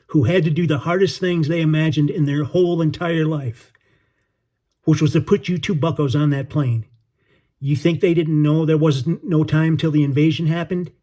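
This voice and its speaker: A man voicing a tough, blunt sailor with a New York accent and slangy, gruff talk. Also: mid-range voice, warm tone, lightly acted.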